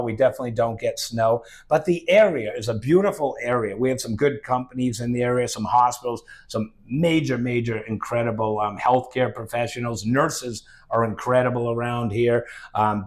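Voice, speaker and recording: A man's voice: medium pitch, brisk, few pauses, a little mellow. A middle-aged man with a slight New England accent, talking casually and with opinions as a guest in an interview, wandering into asides. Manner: chatty, opinionated, rambling with asides. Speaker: financial advisor guest. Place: Sacramento-area.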